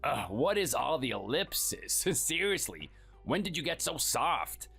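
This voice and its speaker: A performer voicing a cold, contemptuous workaholic husband who talks curtly and dismissively. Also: male voice, fairly high pitch, big pitch swings, a little slow, gravelly, bright, forceful tone, slightly rough, soft background music, exaggerated voice. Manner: curt, scornful, dismissive.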